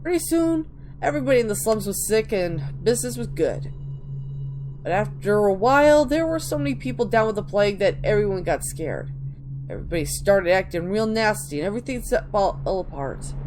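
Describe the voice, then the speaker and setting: A woman's voice: medium pitch, lively intonation, a little fast, noisy background. A woman giving a theatrical dramatic reading of video game texts, stumbling over words and restarting now and then.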